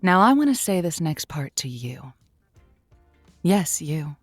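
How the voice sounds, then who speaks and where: A woman's voice: low voice, big pitch swings, slow, husky, no room sound, soft background music, exaggerated voice. An actress playing a sultry, playful woman who hosts a lonely-hearts radio call-in show with theatrical, encouraging patter. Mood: rousing, seductive. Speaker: late-night radio call-in show host. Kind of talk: radio drama, on-air monologue and chat.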